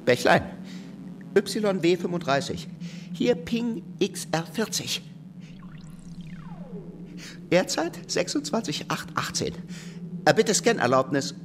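A man's voice: sits high, sing-song, takes it slowly, pauses often, background noise, heavily acted. A man playing a tiny, odd, secretive and fussy male creature in a children's radio play, with quirky clipped speech. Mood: officious, secretive.

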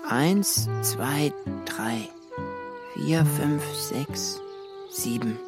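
Fretful gloomy dreamer, unhurried brooding speech, plaintive, repetitive, children's audio drama, music behind. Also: male voice, on the high side. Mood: gloomy, lamenting. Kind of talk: children's radio play acting.

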